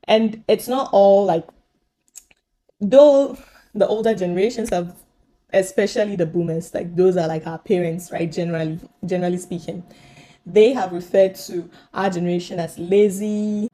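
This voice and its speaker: A millennial woman hosting a conversational video commentary, speaking haltingly and putting questions to viewers. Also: lowish voice, steady tone, mellow. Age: young adult to middle-aged, millennial. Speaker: host.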